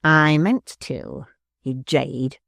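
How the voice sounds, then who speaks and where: A gravelly, slow-spoken dwarf who sneers and threatens with spiteful glee, as a male reader puts him on. The voice drops low and crackles with fry in a dry, close recording. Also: huge pitch swings, a bit bright, dry recording, exaggerated voice. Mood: mocking. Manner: sneering and menacing. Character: spiteful scheming male dwarf, bullying and gleeful. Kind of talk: audiobook character voice.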